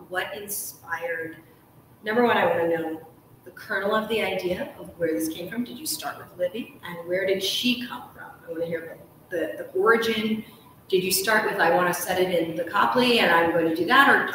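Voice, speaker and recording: Low-voiced woman host in an echoey room. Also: normal speed, pushed and bright. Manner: enthusiastic, emphatic, uses "like" often. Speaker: host, interviewer. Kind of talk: live interview chat. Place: large hotel room, indoors. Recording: echoey room, distant mic, faint noise.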